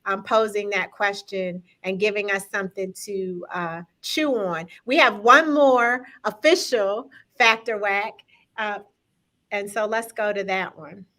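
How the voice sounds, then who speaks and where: Bright, upbeat woman hosting a talk. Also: mid-range voice, measured pace. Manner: upbeat, engaging, addresses viewers directly. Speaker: host. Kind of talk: live-streamed talk show segment.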